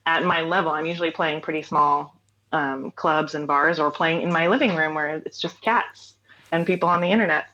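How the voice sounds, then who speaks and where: A woman's voice: low-pitched, fairly even intonation, moderate pace, sharp tone, lo-fi sound. A woman in her forties, a singer-songwriter guest telling stories in a casual interview, laughing often. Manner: chatty, laughs often, storytelling.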